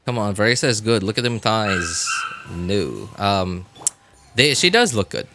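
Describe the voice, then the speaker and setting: A man chatting casually as he streams a game, rambling and halting as he hunts for words in a self-deprecating way. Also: fairly low pitch, sing-song, noisy background. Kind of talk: casual livestream chat while gaming.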